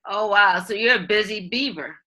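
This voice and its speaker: A woman's voice: medium pitch, normal speed, pushed and bright. A woman teacher asking students friendly, curious questions on a video call.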